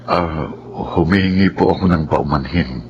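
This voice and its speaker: A man's voice: extremely low pitch, moderate pace, gravelly, noisy background. An actor playing an apologetic doctor in a Tagalog radio drama, a man with a somber manner. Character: male doctor, apologetic bearer of bad news. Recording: dull sound with music behind.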